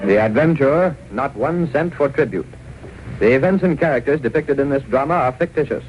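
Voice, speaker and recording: A man's voice: medium pitch, expressive, fairly quick, over music, close to an everyday voice. A man performing as a grand, declamatory announcer for a 1930s radio thriller, on a dull old recording. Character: dramatic show announcer introducing a masked vigilante.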